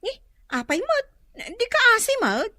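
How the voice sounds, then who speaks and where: A woman's voice: very high-pitched, huge pitch swings, measured pace, bright, forceful tone, no room sound, studio quality, exaggerated voice. A woman playing a giggly, gossipy young best friend in a comedic radio drama. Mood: gossipy, amused.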